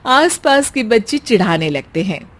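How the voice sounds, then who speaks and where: A woman's voice: fairly high pitch, very animated, unhurried. A woman reading scripted story narration as the narrator.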